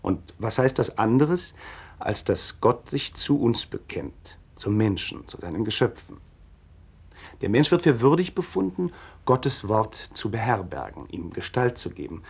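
An actor playing a learned religion teacher in a radio play, explaining things patiently in a measured, didactic manner. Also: male voice, on the low side, very animated, vocal fry, breathy edge, exaggerated voice. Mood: earnest, solemn.

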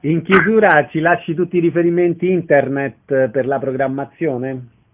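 Guy interviewing on radio, voice a bit high, tinny narrow sound. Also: steady tone, normal speed. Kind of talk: interview question.

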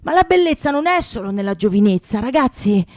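A woman's voice: on the high side, big pitch swings, brisk, a little mellow, no room sound, studio quality. A woman playing a world-weary, grudging damned aesthete, hesitant and blunt, in a radio comedy sketch. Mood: reflective, blunt.

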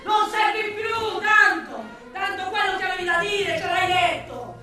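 A woman's voice: very high-pitched, unhurried, a crowd in the background. An actress playing a weary, sardonic policewoman in a theatrical radio drama monologue. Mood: scornful, exasperated. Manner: theatrical, swinging from shouting to muttering. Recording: echoey room, distant mic, faint noise.

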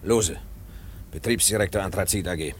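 An actor playing an evasive, stiff mining company director in a German radio play, speaking in a clipped, hesitant, officious way. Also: male voice, low-pitched, normal speed, slight background noise, natural voice.

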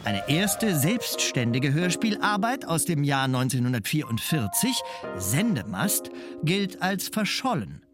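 An actor playing a know-it-all expert who recites biographical facts emphatically in a radio play documentary style. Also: male voice, a little high, very animated, measured pace. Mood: authoritative. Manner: factual, emphatic. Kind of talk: scripted documentary narration within radio drama. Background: music.

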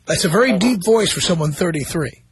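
A man's voice: sits high, lively intonation, fairly quick, breathy edge, no room sound. A man hosting a call-in talk radio show, teasing and blunt with his callers in a chatty studio manner. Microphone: studio microphone.

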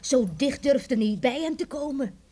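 An actress playing a lively older grandmother in a radio drama, telling eerie tales with chuckles and hushed suspense. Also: sits high, measured pace, slight background noise. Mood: eerie, confiding. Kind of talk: radio drama acting, storytelling.

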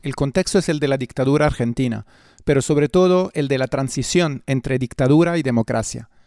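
A man's voice: medium pitch, brisk, a little mellow, no room sound, clean studio sound. A middle-aged man presenting a radio programme as its host in a scripted style.

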